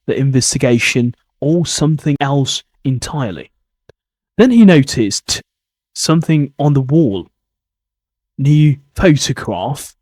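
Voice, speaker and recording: A man hosting an English-learning podcast, reading suspense stories and explaining words deliberately, often repeating himself. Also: medium pitch, breathy edge, no room sound, clean studio sound. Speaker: English teacher podcast host.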